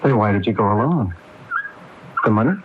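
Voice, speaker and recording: An actor playing a nervous, pleading art dealer in a radio mystery, agitated and stammering. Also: male voice, lowish voice, expressive, moderate pace, natural voice. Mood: panicked. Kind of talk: radio mystery drama acting. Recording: dull, hissy AM radio recording.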